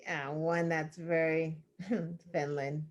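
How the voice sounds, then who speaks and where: A woman's voice: sits low, flat delivery, slow, lo-fi sound. A woman co-hosting an online news show, running a trivia game in casual chat and laughing often. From United States. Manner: laughs often, playful quizmaster. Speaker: co-host.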